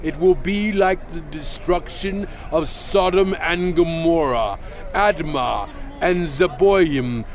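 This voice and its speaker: A man playing a stern, fervent prophet leader who warns his people, declaiming with weighty pauses in a dramatized scripture reading. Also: high voice, a little hiss or hum, exaggerated voice. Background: music bed.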